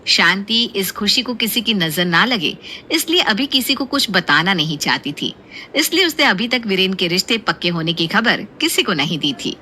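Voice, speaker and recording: Very bright-voiced woman storyteller. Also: medium pitch, animated, moderate pace, slight background noise. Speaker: narrator. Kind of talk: scripted story narration.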